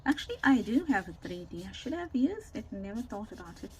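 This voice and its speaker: A woman giving a casual, chatty craft demonstration, thinking aloud in a rambling way with a loud, carrying voice, recorded without a separate microphone. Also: mid-range voice, sing-song, a little fast. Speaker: craft tutorial host. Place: indoors at a home craft desk. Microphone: camera or phone without separate microphone. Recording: noisy room, no microphone.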